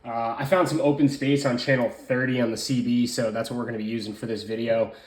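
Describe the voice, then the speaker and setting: A man's voice: medium pitch, fairly even intonation, fast, a little mellow. A man giving a casual hands-on product review, explaining things in an informal, rambling way as a hobbyist. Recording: roomy, echoing room sound.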